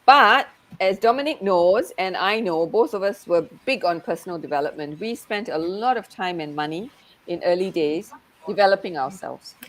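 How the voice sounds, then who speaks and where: A woman's voice: fairly low pitch, moderate pace, a bit bright, low-quality recording. A woman who grew up in Malaysia, a coach talking in a casual, reflective way and often saying "you know". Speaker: NLP coach. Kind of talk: casual conversation.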